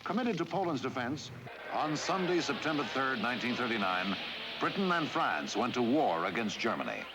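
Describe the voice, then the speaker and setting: A man reading scripted historical newsreel narration in a measured, formal delivery. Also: mid-range voice, sing-song, over music, low-quality recording. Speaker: documentary narrator.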